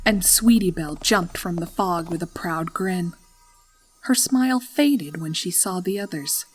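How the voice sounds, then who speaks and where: Slow, low-voiced woman narrating horror. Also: breathy edge, soft background music, rough recording. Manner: dramatic, deliberate storytelling. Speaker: fan fiction narrator. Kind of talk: scripted horror story narration.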